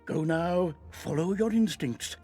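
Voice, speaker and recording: An actor playing a kindly, slightly fussy elderly wizard headmaster in a video game, creaky and dramatic. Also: male voice, fairly high pitch, slow, darkish tone, soft background music. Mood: grave, kindly. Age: older. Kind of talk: video game character acting.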